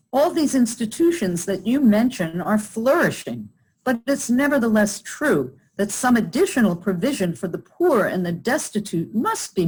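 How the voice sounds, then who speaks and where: An earnest charity lady in a radio play, performed by a woman. She is courteous and deferential, with a husky edge and lively rises and falls in her voice. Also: medium pitch, poor audio.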